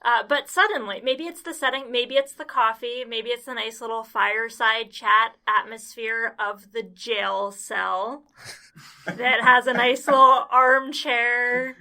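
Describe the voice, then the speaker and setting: A woman's voice: a little high, fairly even intonation, a little slow, sharp tone. A woman guest on a podcast, chatting casually with dry, wry humor. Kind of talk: casual chat.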